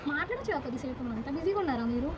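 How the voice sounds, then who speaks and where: A woman puts on a lovestruck young woman's prank-call voice, high-pitched and quick. She pleads insistently and coaxes with earnest devotion. The amateur recording is very noisy. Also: big pitch swings. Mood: coaxing, insistent. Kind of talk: prank phone call, acted romantic pleading.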